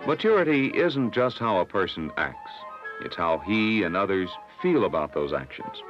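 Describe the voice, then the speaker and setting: An American man narrates an educational film from the 1960s over background music. His low voice moves with lively intonation and a measured, instructive delivery. The soundtrack is dull and lo-fi.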